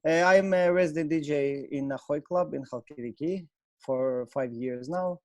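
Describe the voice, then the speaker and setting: A man in his thirties from Russia, a club DJ chatting on a video call in halting, relaxed English. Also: a little high, dry recording. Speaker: resident club DJ, panel guest. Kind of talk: casual video call chat. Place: small room indoors.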